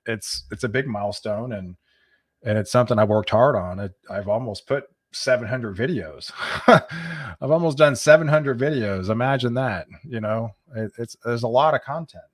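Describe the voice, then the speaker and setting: A man's voice: medium pitch, husky. A middle-aged man from Texas, hosting a weather channel livestream and chatting casually with viewers. He stumbles and repeats words as he talks. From Texas, USA. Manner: halting, repeats words, chuckles often.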